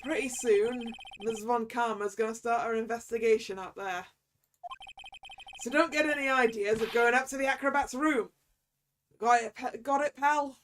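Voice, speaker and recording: A woman voicing a gruff, chummy, easily flustered male detective with a rough London accent, reading out a video game. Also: on the high side, moderate pace, a bit bright, a little hiss or hum, natural voice. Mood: officious. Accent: London cockney, slipping towards Scottish. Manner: blustering, ends remarks with "pal".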